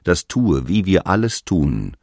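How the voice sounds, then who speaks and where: Grave, fervent apostle in a low voice. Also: male voice, very animated, takes it slowly, vocal fry, a little mellow, no room sound, clean studio sound, heavily acted. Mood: grave, urgent. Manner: declamatory, grave, exhorting. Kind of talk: solemn radio drama monologue in archaic scriptural language.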